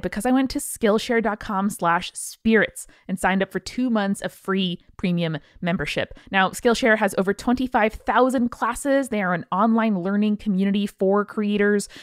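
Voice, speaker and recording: A woman co-hosting a podcast in a casual, animated, slangy conversational style, chatting and reading sponsor spots. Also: mid-range voice, no echo, clean studio sound. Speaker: podcast co-host. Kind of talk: casual chatty ad read and banter.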